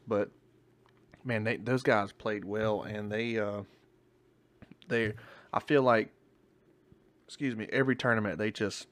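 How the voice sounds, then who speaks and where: A man's voice: on the low side, fairly bright tone. A male podcast co-host in casual chat, rambling and swearing, often restarting his sentences, with hiccups.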